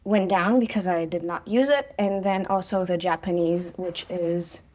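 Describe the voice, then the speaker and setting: A woman's voice: on the low side, normal speed, a little mellow. A woman, chatting casually on her vlog with sarcastic asides and frequent fillers. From Israel. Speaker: vlogger, personal trainer.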